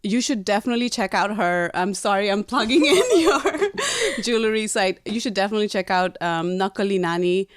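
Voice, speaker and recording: A woman in her thirties from Nepal, co-hosting a casual podcast, chatty and quick to laugh, often restarting her sentences. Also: mid-range voice, dry recording, clean studio sound. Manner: chatty, laughs easily, self-corrects and restarts. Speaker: podcast co-host. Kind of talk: casual conversational podcast chat.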